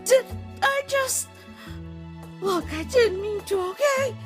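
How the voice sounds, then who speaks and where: A woman voicing a timid, weary human child in a dramatic comic dub, with a halting, emotional delivery. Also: very high voice. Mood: flustered, apologetic. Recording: voice over background music.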